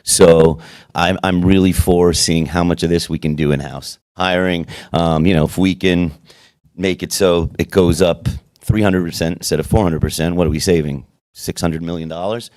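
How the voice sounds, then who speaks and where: A man speaking as a city commissioner in a public workshop, halting with frequent restarts and fillers. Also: deep voice, steady tone, a little mellow. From South Florida. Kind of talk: public meeting discussion.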